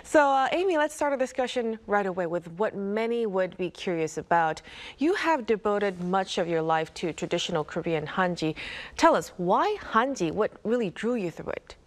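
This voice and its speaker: A woman presents and interviews as a broadcast host. Her delivery is polished and formal, and her voice sits at a medium pitch. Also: very animated, moderate pace.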